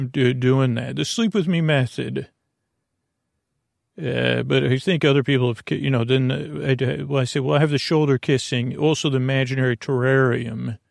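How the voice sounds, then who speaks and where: A man hosting a bedtime podcast in a creaky, soothing voice, meandering haltingly through digressive monologue meant to lull listeners to sleep. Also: mid-range voice, no room sound, studio quality. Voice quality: creaky, gravelly. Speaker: podcast host.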